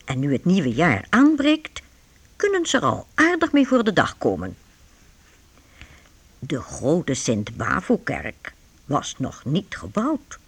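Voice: medium pitch, wildly swooping pitch, slow pace, pauses often, slightly rough. A narrator reading scripted storytelling for a radio play aimed at young listeners.

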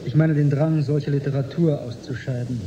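An actor in a German radio drama playing a sceptical historian aboard a spacecraft, with a dry, ironic manner. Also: male voice, fairly high pitch, fairly even intonation, normal speed, dull audio, background noise, lightly acted. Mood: dry, wry. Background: music.

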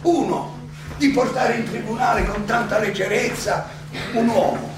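In a very echoey, distant space an old philosopher on trial, his voice high and gravelly. He is defiant and sardonic, arguing in rhetorical questions with theatrical pauses, as in a stage play. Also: noisy background. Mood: ironic, challenging. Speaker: stage actor. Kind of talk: theatrical courtroom monologue.

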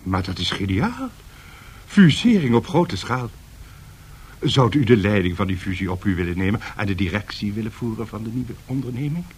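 An actor playing a courteous, deferential bank director in a radio drama, with a husky voice and formal manner. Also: male voice, fairly low pitch, sing-song, moderate pace, a little mellow. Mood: delighted. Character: courteous, flattering bank director seeking help. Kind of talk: radio play acting.

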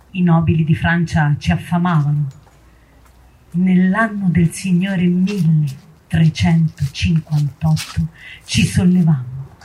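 Medieval peasant rebel male actor, solemn theatre narration, dark tone, heavily husky and breathy. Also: fairly high pitch, low-quality recording. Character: defiant medieval peasant rebel telling of revolt. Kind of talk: theatrical recited monologue.

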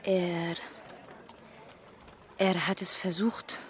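A woman's voice: lowish voice, flat delivery, extremely slow, slight background noise. A woman playing a shy, naive teenage butcher's daughter, hesitant and guarded, in a German radio crime drama. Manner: hesitant, guarded, simple short answers.

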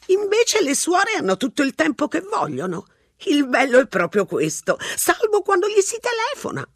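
Shrill, slow chatty old woman. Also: huge pitch swings, a bit bright, no echo, studio quality, exaggerated voice. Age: older. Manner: chatty, rambling, unhurried. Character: scruffy garrulous old woman. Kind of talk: audiobook narration.

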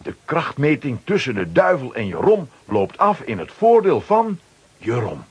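A man's voice: medium pitch, huge pitch swings, unhurried, breathy edge. A man with a Flemish accent narrating a children's story in a dramatic scripted style.

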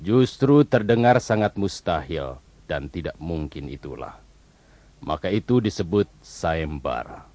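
A man playing a stern, imperious king in an audio fairy tale, giving orders in a deliberate, emphatic manner. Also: low voice, animated, a little mellow, dry recording. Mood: commanding.

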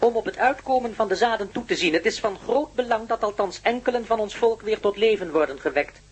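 An actor playing a calm, learned being of an ancient alien people in a radio play, speaking in a measured, formal way. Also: medium pitch, a little hiss or hum, close to an everyday voice. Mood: solemn, insistent. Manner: measured, formal, matter-of-fact. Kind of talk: Dutch radio play acting.

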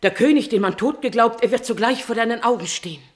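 A woman's voice: a little high, fast talker, close to an everyday voice. An actress playing a queen's devoted and scheming confidante in a classical tragedy, declaiming verse in an emphatic, persuasive manner. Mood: breathless alarm. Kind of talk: declamatory classical German stage verse acting.

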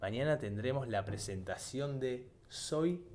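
A man's voice: medium pitch, animated, unhurried, darkish tone, slight background noise. A man with an Argentine accent hosting a conversational community radio talk. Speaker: radio host. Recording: music playing behind the voice. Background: music.

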